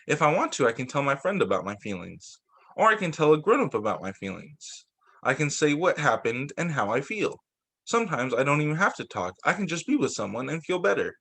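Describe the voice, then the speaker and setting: A man reading storybooks aloud as a teacher for young children, in an expressive way with the occasional stumble and re-read phrase. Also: on the high side, a little fast, gravelly, breathy edge.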